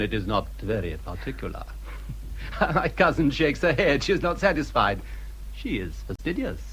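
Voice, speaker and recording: An actor playing a suave, flattering English gentleman in a period radio drama, formal and courtly. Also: fairly low pitch, a little hiss or hum, low-quality recording. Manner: courtly, smooth-talking, formal.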